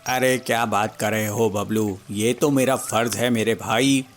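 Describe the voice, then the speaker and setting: A man voices a friendly, well-off cartoon bird pal with a slightly lowered voice. He talks familiarly and persuasively, like an old friend offering help. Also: steady tone, unhurried, soft background music, close to an everyday voice. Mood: warm, modest. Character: helpful, friendly male bird, prosperous old schoolfriend.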